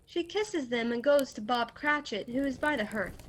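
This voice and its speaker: A woman's voice: high voice, lively intonation, normal speed, a little hiss or hum. A woman reading scripted stage directions as the narrator of a radio play. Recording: faint music, home video-call recording.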